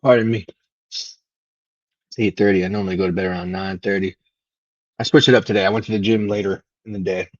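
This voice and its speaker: A husky man with a fairly low voice hosts a casual livestream. He rambles without a script, halting and letting thoughts trail away. The sound is close, dry and slightly dull. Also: fairly even intonation, dry recording. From Venezuela. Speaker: live stream host. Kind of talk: casual unscripted livestream monologue.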